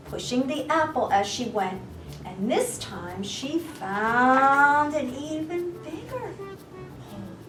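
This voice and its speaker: A woman's voice: high-pitched, big pitch swings, a bit bright, roomy sound, distant mic, soft background music, poor audio. A woman storytime reader narrating a children's story expressively, drawing out words for suspense. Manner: drawn-out words, gasps for suspense.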